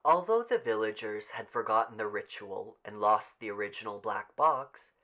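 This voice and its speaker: A man's voice: on the low side, expressive, moderate pace, bright, forceful tone. A man narrating literary fiction as a scripted audiobook reading.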